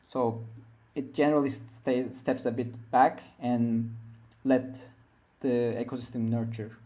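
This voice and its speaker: A man's voice: mid-range voice, steady tone, takes it slowly, warm tone. A young man from Turkey, a guest on a podcast interview, speaking from home in a hesitant way with many fillers and restarts. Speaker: guest developer.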